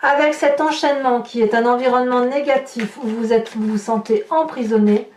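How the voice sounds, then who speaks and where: A woman's voice: fairly high pitch, steady tone, moderate pace, a little mellow, slight background noise. A woman giving an informal tarot reading, talking directly to viewers and thinking aloud with rhetorical questions and little tag words. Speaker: tarot reader hosting a horoscope channel. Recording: roomy echo, distant microphone.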